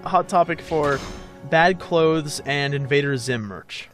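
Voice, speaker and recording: Higher-pitched man joking over game music. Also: takes it slowly, a bit bright. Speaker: host of a let's play. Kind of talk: casual gameplay commentary.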